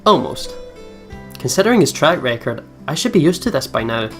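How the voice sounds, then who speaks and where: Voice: medium pitch, sing-song, brisk. A let's play host reading game text aloud with casual commentary, over game music.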